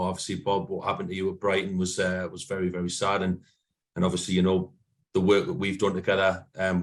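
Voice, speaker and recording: Low, flat-voiced Newcastle man. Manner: earnest, many fillers and restarts. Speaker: guest speaker. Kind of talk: meeting talk.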